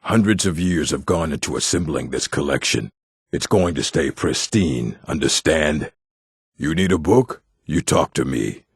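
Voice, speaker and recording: A man voicing a gruff, stern orc for a video game, with a gravelly, husky voice and a clipped, commanding manner. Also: rumbling low, animated, unhurried, dry recording, clean studio sound, heavily acted. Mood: stern, threatening. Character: gruff male orc, stern bookish keeper and warrior. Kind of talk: video game character voice acting.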